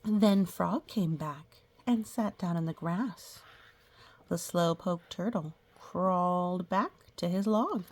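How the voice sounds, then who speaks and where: A woman reading a picture book aloud to young children, gently pausing to explain things and ask questions. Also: fairly low pitch. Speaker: children's story reader.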